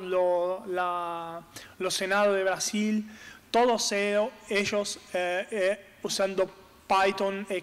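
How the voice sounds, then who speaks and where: A man's voice: sits high, clean tone. A man in his thirties from Brazil with a Brazilian Portuguese accent, giving a conference talk from a table in a brick-walled hall, hesitating often and searching for words. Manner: halting, searches for words with many fillers. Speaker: conference speaker. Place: large hall with brick walls, on stage at a table. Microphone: desk microphone on stand. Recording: long hall reverb.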